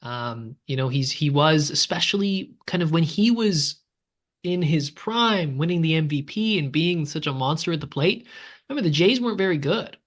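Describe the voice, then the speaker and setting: Podcast co-host from Canada, man, a bit higher-pitched, chatty, leans on "right?". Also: lively intonation, normal speed, fairly bright tone. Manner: conversational, tags statements with "right?". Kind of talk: casual sports chat.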